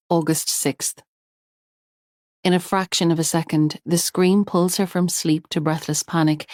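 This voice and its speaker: A woman narrating a thriller audiobook in a measured, tense storytelling manner. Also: low voice, no room sound, clean studio sound.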